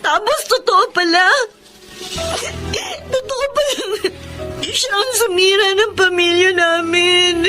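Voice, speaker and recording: A woman's voice: extremely high pitch, slow pace. A woman playing an aggrieved, stubborn teenage daughter in a Filipino radio drama. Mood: heartbroken, tearful. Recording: dramatic music bed behind the voice.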